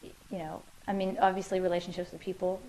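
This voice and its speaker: A woman's voice: fairly low pitch, level tone, quick pace, mellow, slight background noise, rough recording. A woman musician answering interview questions in her living room, thoughtful and loose, with many fillers and restarts.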